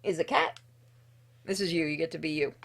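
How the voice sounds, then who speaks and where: A woman reading a visual novel game's narration aloud in an expressive storytelling way, with casual, dryly humorous asides. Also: deep voice, brisk, creaky. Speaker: streamer playing and narrating a game.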